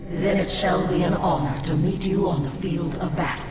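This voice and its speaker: An actress playing a proud, stern alien warrior commander in a video game, speaking formally and imperiously with an echoing effect. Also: female voice, fairly low pitch, normal speed. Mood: stern, solemn. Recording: echoey, dull audio under loud music.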